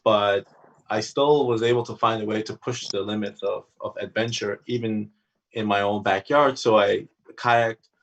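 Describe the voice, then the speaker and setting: A man speaking as a panel guest in a casual online talk, halting with fillers and restarts. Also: lowish voice, steady tone, a bit bright, distant mic, rough recording.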